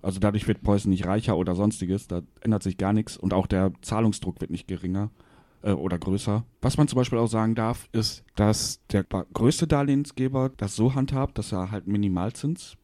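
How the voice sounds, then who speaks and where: Male co-host from Westphalia, lowish and mellow, matter-of-fact explainer. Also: fairly quick. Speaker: podcast co-host. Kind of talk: casual chat.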